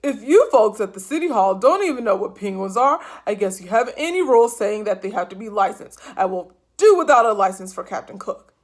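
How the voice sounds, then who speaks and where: A woman's voice: mid-range voice, moderate pace, fairly bright tone, natural voice. A woman voicing an earnest, indignant middle-aged man who keeps a penguin. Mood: insistent. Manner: earnest, insistent. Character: indignant middle-aged male pet owner.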